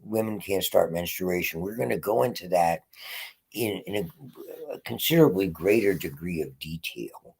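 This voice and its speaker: A man giving a physiology lecture from home, congested and often clearing his throat, with halting, restarting phrasing. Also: sits low, lively intonation, darkish tone. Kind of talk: unscripted lecture.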